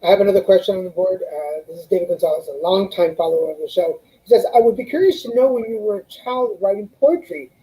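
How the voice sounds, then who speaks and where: A woman's voice: medium pitch, fast talker, hardly any pauses, warm tone, rough recording. A woman hosting an interview show, asking curious questions in a conversational way. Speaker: show host. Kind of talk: casual interview.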